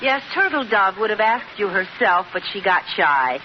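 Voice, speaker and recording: An actress playing a wisecracking, coaxing housewife in old radio sitcom style. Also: female voice, mid-range voice, very animated, normal speed, close to an everyday voice. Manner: coaxing, wry, patient. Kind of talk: 1940s-50s radio sitcom acting. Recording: dull, noisy old radio recording. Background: studio audience.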